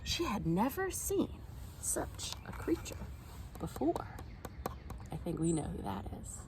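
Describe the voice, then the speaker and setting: A woman reading a children's picture book aloud in an expressive, animated storytime style. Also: mid-range voice, slow, background noise. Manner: animated, acts out the characters' lines. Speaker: storytime reader.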